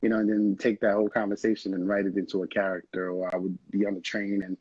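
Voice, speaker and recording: A man's voice: on the low side, monotone, fast, darkish tone, breathy edge, dry recording. A young man from Haiti, a film director, chatting casually and rambling in an interview, laughing often. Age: young adult. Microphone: video call. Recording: dull lo-fi video call audio.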